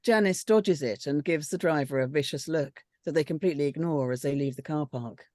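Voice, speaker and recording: A woman's voice: deep voice, fairly quick, a little mellow, no echo, clean studio sound. A woman reading scripted stage directions aloud as a narrator at a script reading.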